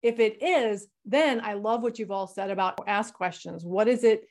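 Woman moderator, mid pitch, blunt and no-nonsense, conversational. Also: normal speed, a little mellow. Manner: blunt, frank. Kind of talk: casual panel discussion.

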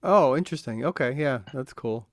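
A man's voice: mid-range voice, normal speed, no echo, clean studio sound. A man hosting a podcast interview who asks loose, hesitant questions and keeps rephrasing them as he goes. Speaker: podcast host.

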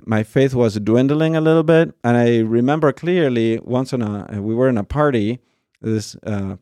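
A man from Argentina, a priest, telling his story in a thoughtful, conversational way, pausing with fillers and often checking with "right?". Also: mid-range voice, warm tone, no room sound, studio quality. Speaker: priest guest.

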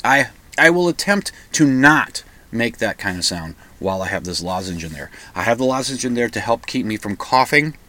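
A male radio host with a slightly croaky voice from a cold and a lozenge in his mouth, rambling casually and self-deprecatingly. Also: medium pitch, very animated, moderate pace, pushed and bright. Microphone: microphone into recording software.

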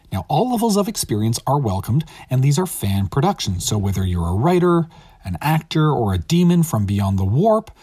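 A man's voice: medium pitch, warm tone, husky, no echo, clean studio sound. A man hosting an audio drama podcast, reading a scripted, upbeat outro. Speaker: host of an audio drama series.

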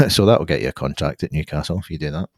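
A man's voice: very deep voice, quick pace, no echo, studio quality. A man co-hosting a casual football podcast, thoughtful and hedging, with many restarts.

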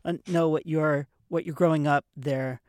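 A man hosting a podcast interview, friendly and quick to laugh, often stumbling and restarting his sentences. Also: a little high, fairly even intonation, darkish tone, dry recording, studio quality. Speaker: podcast host.

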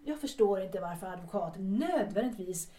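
An actress playing a wounded, sharp-tongued woman of thirty-three in a radio drama, challenging and formal in her address. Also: mid-range voice, lively intonation, far from the mic, close to an everyday voice. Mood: reproachful. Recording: echoey room, off the mic.

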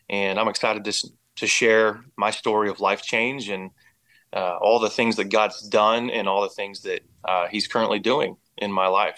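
A man's voice: lowish voice, pushed and bright. An American man giving personal testimony as an interview guest, speaking in an earnest, reflective way. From Indiana and Oklahoma, United States. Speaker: podcast guest.

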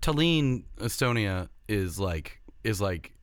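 A man's voice: lowish voice, expressive, slow pace, no echo. A man co-hosting a podcast, teasing and incredulous in casual banter. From Ireland. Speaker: podcast co-host.

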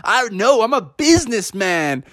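Man doing a hyped-up high-voiced bro salesman. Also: very animated, a bit bright, dry recording, studio quality, full character voice. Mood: boastful. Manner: brash salesman patter, drawn-out emphasis. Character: hyped-up young male adventure center bear bro employee.

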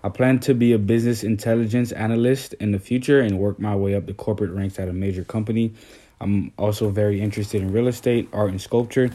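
A young man from Haiti hosts a podcast in a low, mellow voice. He reads steadily and pauses now and then on small fillers. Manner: steady, slightly halting with fillers. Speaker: podcast host, graduate student. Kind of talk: semi-scripted podcast hosting.

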